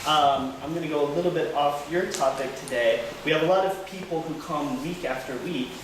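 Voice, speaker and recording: A man moderating a Q&A at a public talk, asking deliberate, measured questions with frequent "uh" fillers. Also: on the high side. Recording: echoey hall, voice far from mic, noisy.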